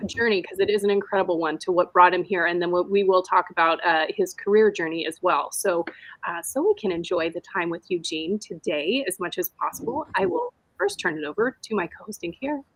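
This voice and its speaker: Woman podcast host, warm intro, dead-dry mic sound. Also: lowish voice, lively intonation, brisk, no echo, rough recording. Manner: upbeat, filler-heavy intro.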